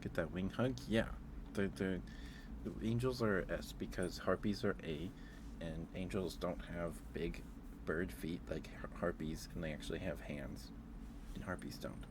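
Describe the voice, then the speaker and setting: A soft-spoken man hosting a casual ASMR stream, chatting with viewers and musing aloud in a hesitant way. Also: deep voice, a little slow, background noise.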